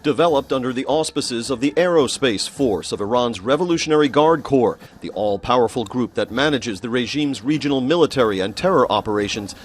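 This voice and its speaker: A man's voice: medium pitch, lively intonation, fairly quick, hardly any pauses, soft background music. A man narrating a scripted broadcast report as a national correspondent.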